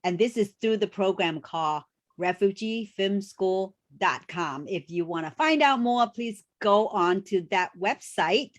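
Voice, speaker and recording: A woman co-hosting a casual video call chat, speaking with halting phrasing and many restarts. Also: mid-range voice, animated. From refugee background. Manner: warm, halting, frequent restarts and fillers. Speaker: co-host.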